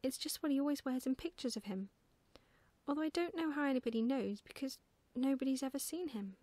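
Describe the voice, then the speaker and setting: A woman's voice: high voice, fairly quick, dark tone, no echo, voice pushed up, close to an everyday voice. A woman voicing a proud, bossy little girl in a storybook, explaining things in an emphatic, matter-of-fact way.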